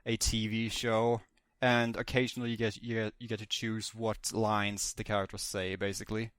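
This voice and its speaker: A man talking casually as a podcast panelist, repeating himself for emphasis and haltingly correcting himself. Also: on the low side, flat delivery, a bit bright, clear, resonant tone, no room sound. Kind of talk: casual discussion.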